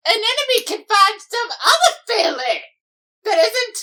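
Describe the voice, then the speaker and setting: A woman's voice: extremely high pitch, bright, forceful tone, exaggerated voice. A woman voicing an indignant, melodramatic villainous pony mare in a cartoon fan dub, given to whiny, over-dramatic outbursts. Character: melodramatic, indignant villainous young mare, protective older sister. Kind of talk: fan comic dub voice acting.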